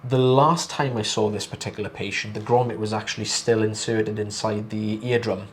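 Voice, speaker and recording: A British man, an ear clinician, co-presenting with a croaky voice from a cold and hesitant, halting phrasing. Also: on the low side, fairly even intonation, a little hiss or hum. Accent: British English. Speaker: ear wax removal clinician.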